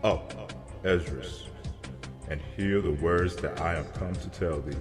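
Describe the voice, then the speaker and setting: A man voicing a solemn, commanding angel who declaims parables in a grave, measured way in a scripture dramatization. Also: deep voice, pitched below the performer's own voice. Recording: long cavernous reverb under music.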